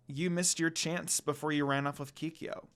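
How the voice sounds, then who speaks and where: A man voicing a gentle but outspoken young woman in a story reading, with a soft, earnest manner. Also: fairly high pitch, steady tone, normal speed. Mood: apologetic, firm.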